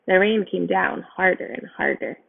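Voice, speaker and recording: A woman's voice: fairly low pitch, normal speed, vocal fry, breathy edge, dry recording. A woman reading a picture book aloud to children, speaking simply and putting questions to her young listeners. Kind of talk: read-aloud storybook narration. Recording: phone-like narrow sound.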